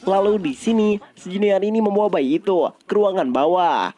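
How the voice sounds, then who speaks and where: A man's voice: high voice, normal speed, dark tone, soft background music. A man narrates a story recap. His delivery is colloquial and conversational, like casual scripted storytelling for an online video.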